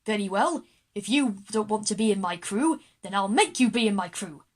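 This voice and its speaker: A woman's voice: medium pitch, lively intonation, moderate pace, natural voice. A woman playing an egotistical, bossy male pirate general in a kids' audio drama, with a pompous, theatrical manner. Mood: defiant.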